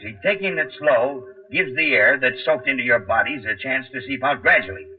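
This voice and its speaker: A man's voice: mid-range voice, normal speed, lightly acted. An actor playing a middle-aged, seasoned American tunnel worker and father, plainspoken and patient, in 1940s radio drama style. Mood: explaining. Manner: plainspoken, colloquial explaining. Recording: tinny, band-limited 1940s radio recording.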